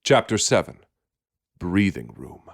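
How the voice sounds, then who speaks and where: A man's voice: sits low, very animated, measured pace, gravelly, dry recording, clean studio sound. A man announcing podcast titles in a scripted delivery. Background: music.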